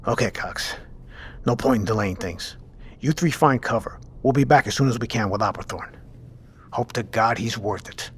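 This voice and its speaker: A man's voice: fairly low pitch, moderate pace, a little hiss or hum, lightly acted. An actor playing a decisive male squad leader on a scouting mission, speaking in a clipped, commanding way in an audio drama. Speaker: team commander.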